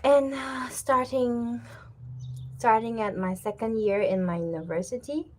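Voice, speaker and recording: Slow, chatty Taiwanese woman. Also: mid-range voice. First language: Mandarin Chinese. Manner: relaxed and chatty, with many fillers and repeated words, laughing often. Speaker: language teacher and channel host. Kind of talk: casual chat to camera.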